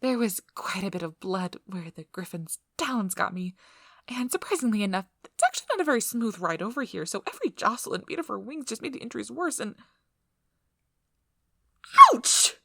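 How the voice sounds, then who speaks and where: Woman doing a nervous injured researcher girl for asmr roleplay, breathy, stammery, keeps it apologetic, super animated pitch. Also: a little fast, no echo, studio quality. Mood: nervous, earnest, then pained, flustered. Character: nervous, injured, apologetic, eager young female field researcher. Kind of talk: ASMR audio roleplay acting.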